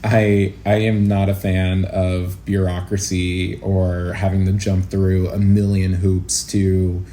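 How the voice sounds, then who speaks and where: A man talking as a guest in a casual podcast interview, with an informal, reflective manner and halting phrasing. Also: low voice, level tone, dark tone, a little hiss or hum. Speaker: interview guest, actor-producer and educator.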